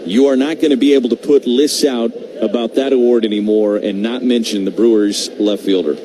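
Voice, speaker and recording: Man, baseball play-by-play, measured short phrases, conversational asides, noisy live broadcast. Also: medium pitch, steady tone. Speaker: baseball play-by-play radio announcer.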